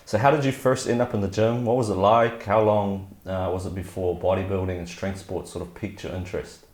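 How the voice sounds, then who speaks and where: A man's voice: low voice, level tone, a little fast, a little mellow. A man hosting a podcast, introducing and questioning a guest in a casual interview style. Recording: echoey, roomy sound.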